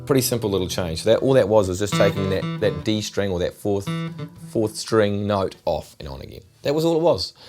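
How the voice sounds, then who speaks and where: A middle-aged man with a New Zealand accent, giving a relaxed, chatty guitar lesson. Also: fairly low pitch. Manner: relaxed, chatty, repeats himself while demonstrating. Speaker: guitar teacher. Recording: loud guitar over the voice. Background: acoustic guitar playing.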